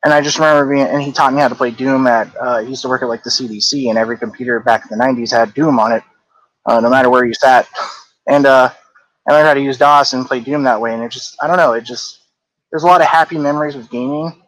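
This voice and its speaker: A man in his thirties, a podcast guest, talking in a reflective, easygoing way and hedging often. His voice is mid-pitched and ordinary, with a relaxed conversational flow. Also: fast, dry recording, rough recording. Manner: reflective, hedging with "like" and "I don't know". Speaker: guest, game company co-founder. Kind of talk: casual interview chat.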